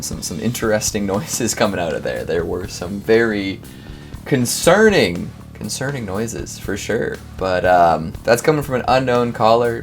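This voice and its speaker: A man hosting a casual comedic reaction show, talking in an animated, wry, rambling way with sudden exclamations. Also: on the low side. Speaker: host reacting to scary clips.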